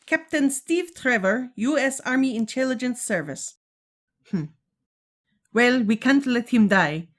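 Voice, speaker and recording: A woman's voice: on the high side, expressive, measured pace. A woman playing a regal, stern but caring Amazon queen and mother in a 1940s-style radio serial, formal and commanding. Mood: commanding, measured.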